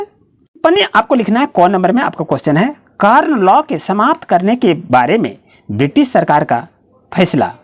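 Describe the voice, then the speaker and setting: Woman, lowish pitch, extremely animated sing-song intonation, directive schoolteacher dictating answers. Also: breathy edge. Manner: directive, repetitive step-by-step explaining. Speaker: online school history teacher. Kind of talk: instructional lesson, dictating answers to students.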